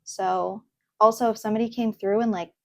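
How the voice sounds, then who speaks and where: A woman's voice: mid-range voice, fairly even intonation, no room sound, clean studio sound. Chatty young woman reacting to posts. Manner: chatty and earnest, with fillers and pauses. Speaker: host. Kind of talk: casual reaction video, reading posts aloud. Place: home room, at her desk.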